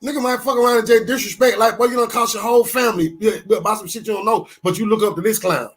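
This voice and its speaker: Fired-up high-voiced man in his fifties. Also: fast. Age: 50s. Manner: animated, swearing, storytelling with restarts. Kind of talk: casual podcast talk.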